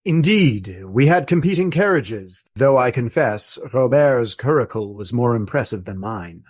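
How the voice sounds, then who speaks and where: Guy acting as old monk in a radio drama, courtly n wry, fancy deliberate wording, mid voice. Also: mid-range voice, lively intonation. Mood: gallant, fond. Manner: formal, ornate, deliberate phrasing. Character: courtly old Trappist friar, fond, wry, gallant storyteller. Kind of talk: radio play dialogue.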